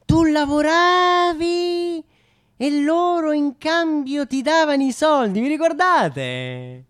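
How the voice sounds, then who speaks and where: A man's voice: very high-pitched, expressive, slow, a bit bright. A man in his late twenties performing a satirical radio comedy monologue, theatrical and mock-nostalgic, with rhetorical questions and hesitant repetitions. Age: late 20s.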